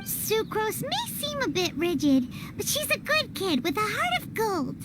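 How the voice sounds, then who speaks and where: Very high squeaky fairy companion. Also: female voice, a little slow, exaggerated voice. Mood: chatty. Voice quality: squeaky, childlike. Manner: exclamatory, excitable. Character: small chirpy childlike fairy companion, cheerful and outspoken. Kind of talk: animated game dubbing. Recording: clear loud noise behind the voice.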